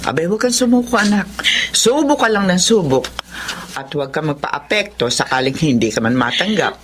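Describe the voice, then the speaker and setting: Actress as middle-aged Filipino mom, lowish voice, very expressive intonation, nurturing, encouraging, radio drama. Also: female voice, fairly quick, a little hiss or hum, close to an everyday voice. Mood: comforting.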